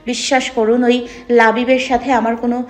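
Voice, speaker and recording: A woman's voice: level tone, moderate pace, close to an everyday voice. A woman voicing a hurt, shy young wife. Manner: quiet, plaintive, low voice. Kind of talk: romantic audio story acting. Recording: soft background music.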